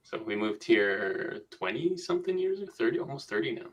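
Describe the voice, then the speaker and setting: A man's voice: mid-range voice, sing-song, normal speed, breathy edge. A man in his fifties from Hawaii, chatting casually in a group call, hesitant with many ums. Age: 50s. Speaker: guest panelist.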